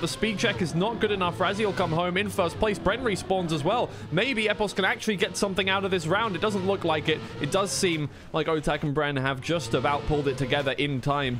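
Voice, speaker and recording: A man giving live commentary on a racing game in an informal, slightly flustered way, thinking aloud between calls. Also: fairly high pitch. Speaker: esports commentator and streamer. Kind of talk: live racing game commentary mixed with casual stream chat. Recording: clear noise, game car engines behind.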